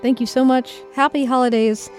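A woman's voice: high voice, fairly even intonation, moderate pace. A woman podcast host speaking to listeners in a scripted, direct-address style. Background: faint music.

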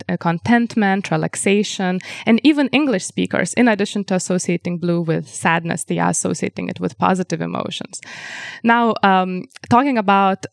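A woman whose first language is Lithuanian, answering interview questions as a researcher in a measured, explanatory way with frequent ums. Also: medium pitch, vocal fry, no room sound, clean studio sound. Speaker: researcher guest.

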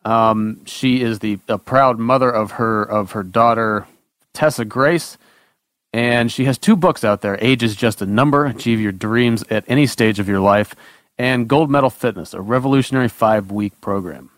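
A man co-hosting a podcast, reading a guest introduction in a steady, slightly halting way. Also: mid-range voice, no room sound. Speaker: podcast co-host.

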